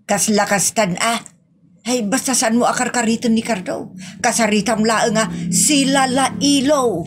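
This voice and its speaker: A woman's voice: a little high, unhurried, over music, close to an everyday voice. A woman playing a nagging, scolding wife in a comic audio drama, with a chiding, questioning delivery. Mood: warning. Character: nagging, scolding, sharp-tongued wife in a comedy.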